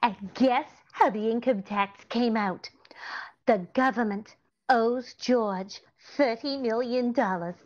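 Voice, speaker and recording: A woman's voice: a little high, slow pace. A middle-aged woman playing a cheerful, naively confident scatterbrained wife in a 1940s-style radio comedy. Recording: slightly dull online-call audio.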